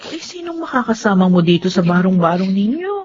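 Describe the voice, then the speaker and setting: A woman's voice: medium pitch, a little fast, natural voice. An actress playing a kindly, motherly but firm middle-aged woman in a melodramatic Filipino radio drama. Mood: sympathetic, tender. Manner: expressive, maternal, melodramatic. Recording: dull lo-fi sound with faint noise.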